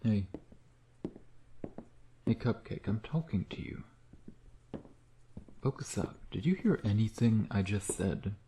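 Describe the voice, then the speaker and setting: A man playing a smug, teasing vampire predator in an intimate ASMR roleplay, sardonic and flirtatious, often slipping into breathy murmurs. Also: lowish voice, lively intonation, slow pace, long pauses, mellow, slight background noise. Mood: impatient.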